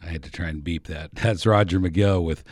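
A man's voice: sits low, normal speed, a little mellow, no room sound, studio quality. A man from Alaska hosting a radio talk segment, rambling with many hesitations and chuckling asides. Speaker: radio host.

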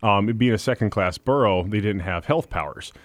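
Man, radio interview guest, earnest, a bit low, dry studio. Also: fairly quick, no echo. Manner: earnest, talkative, with fillers. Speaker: guest, political candidate. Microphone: studio microphone.

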